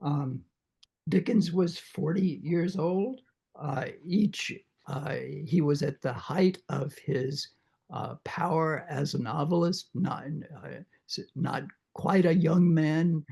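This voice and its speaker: Croaky, halting older man. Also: a little high, warm tone. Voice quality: croaky after throat surgery. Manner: halting, many uhs and restarts. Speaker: literature professor leading a reading group. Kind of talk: informal lecture.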